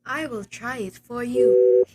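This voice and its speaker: Polite, detached telephone operator for radio play, female performer; slightly high pitch, measured and formal. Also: big pitch swings, background noise. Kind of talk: amateur radio play acting.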